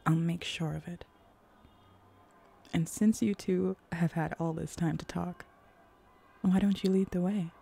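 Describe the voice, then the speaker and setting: A woman voicing a flirty, eager, playful female hellhound in a roleplay ASMR audio drama, teasing and sultry with breathy whispers. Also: on the low side, fairly even intonation, a little slow, long pauses, dark tone, full character voice. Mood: coaxing.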